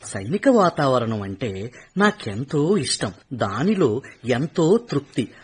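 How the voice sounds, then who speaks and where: A middle-aged retired soldier in a radio play who cannot settle into home life. His voice is husky, his pitch rises and falls a great deal, and he speaks earnestly. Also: takes it slowly, full character voice. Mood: restless. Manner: earnest, wistful, with animated pitch. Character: retired army havildar, middle-aged, restless.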